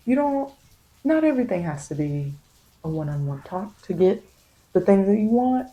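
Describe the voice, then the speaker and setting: A woman reads tarot in a soft ASMR style. Her voice is on the low side and very mellow and dark. She circles back over phrases haltingly, offering reassurance and spiritual guidance. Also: rough recording. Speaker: tarot reader.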